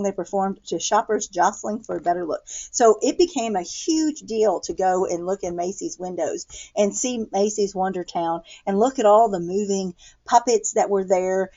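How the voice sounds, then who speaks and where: A woman's voice: medium pitch, mellow. Dull-sounding recording of an American woman reading aloud. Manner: explanatory, restating in simple words. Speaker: children's picture-book read-aloud reader. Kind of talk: read-aloud with simple explanatory asides to children.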